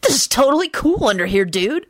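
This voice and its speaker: A giddy, laid-back stoner dude, played by a woman reader in a storybook. He drawls his slang in a high hiss. His pitch wanders widely. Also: big pitch swings, fairly quick, a bit bright, exaggerated voice. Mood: giddy delight. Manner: hissed, drawling slang delivery.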